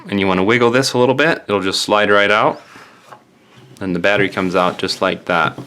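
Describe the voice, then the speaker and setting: Male tutorial presenter, lowish voice, informal and matter-of-fact, walking viewers through hands-on steps. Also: a bit bright. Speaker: DIY phone repair presenter. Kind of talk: casual step-by-step tutorial narration.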